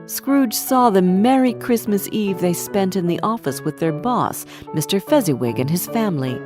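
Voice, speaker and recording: A woman narrating a storybook for English learners in a deliberate, expressive manner. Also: medium pitch, over music.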